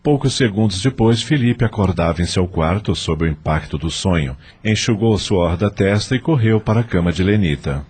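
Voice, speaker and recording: A man's voice: deep voice, animated, normal speed, hardly any pauses, darkish tone, breathy edge. A man with a Brazilian accent giving scripted third-person narration for an audio drama.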